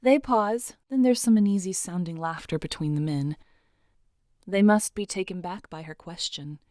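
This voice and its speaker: A woman's voice: on the low side, moderate pace, darkish tone, no room sound, clean studio sound. A woman narrating an audio drama as scripted, dramatic storytelling.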